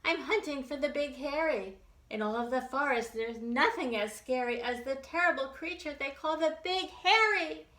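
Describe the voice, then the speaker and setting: A woman's voice: high-pitched, normal speed, pushed and bright, echoey room, pitched above the performer's own voice. A woman voicing a brave, eager little boy bunny in an earnest, childlike storybook manner.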